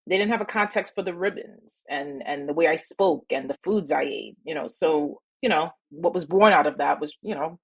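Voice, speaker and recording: A woman's voice: fairly low pitch, lively intonation, normal speed, creaky, few pauses, husky, low-quality recording. A woman from Trinidad, an attorney guest on a podcast, telling reflective stories with laughter. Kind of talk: podcast interview.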